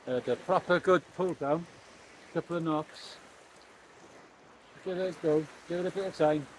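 A man's voice: on the high side, fairly even intonation, moderate pace, darkish tone, slight background noise. Chatty man fishing on a beach. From Wales. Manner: chatty, explaining as he works. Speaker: fishing vlogger. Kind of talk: casual chat to camera. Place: outdoors on a pebbly beach by the sea. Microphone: camera close up, held or on a stand.

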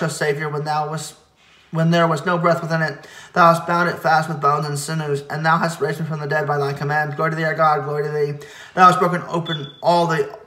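A man solemnly reciting liturgical hymn verses in a measured way, now and then stumbling over a word. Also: fairly high pitch, pushed and bright. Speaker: reader of church liturgy. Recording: echoey, reverberant room.

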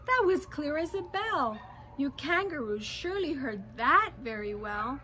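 A woman voicing a kind, loyal, anxious male elephant in a storybook, with emphatic, drawn-out calls. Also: sits high, big pitch swings, pushed and bright, full character voice. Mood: pleased.

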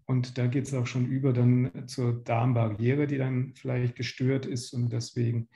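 Guy in his 50s from germany explaining medical slides, really dark mellow voice, roomy echo. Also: mid-range voice, level tone, unhurried. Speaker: medical lecturer, pediatric gastroenterologist. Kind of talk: slide lecture to patients. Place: small indoor room, on video call. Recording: roomy home or office echo.

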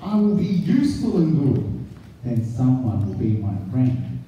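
A lonely big bad wolf, as a man puts him on for a storybook reading, speaking low, gentle and sweet. The voice is mellow in tone. It comes from far off in a big echoey hall. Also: sing-song, unhurried, muffled recording.

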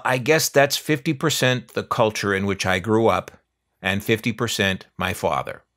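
An older man with a low-pitched voice tells stories in a casual, folksy way. His intonation rises and falls widely, and he leans on certain phrases with wry, drawn-out emphasis. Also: a bit bright. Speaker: narrator, vintage electronics collector. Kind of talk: casual reminiscing narration over catalog pages.

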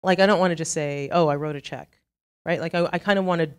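A woman's voice: on the low side, fairly even intonation, fast. Trinidadian woman telling her story on stage. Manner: chatty, self-deprecating storytelling with asides. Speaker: conference speaker. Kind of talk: conference talk. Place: conference hall.

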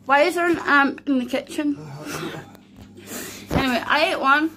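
A woman films a friend on her phone in a cluttered flat, teasing him and laughing almost nonstop. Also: sits high, normal speed, rough recording. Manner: laughing constantly, teasing, bossy. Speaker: person filming a friend's chilli challenge. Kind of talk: casual teasing chat behind the camera. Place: small cluttered flat indoors. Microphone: phone held by the speaker.

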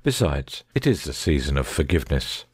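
An actor playing an authoritative, reasoning gentleman detective in a dramatic audiobook reading. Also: rumbling low, sing-song, moderate pace, slightly rough, dry recording, studio quality, exaggerated voice. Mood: reflective, merciful.

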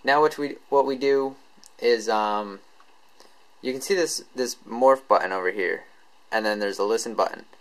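Casual, halting man giving a tutorial. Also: medium pitch. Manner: halting, informal, unscripted. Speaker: tech tutorial presenter.

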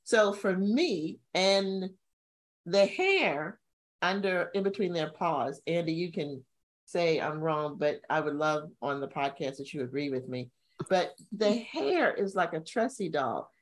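Casting note: middle-aged woman, lowish voice, lively storyteller who jumps up high for emphasis, webcam from a home room. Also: a little slow. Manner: animated storytelling with sudden high-pitched emphasis. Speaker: co-host. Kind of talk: casual video-call chat. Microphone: video call webcam.

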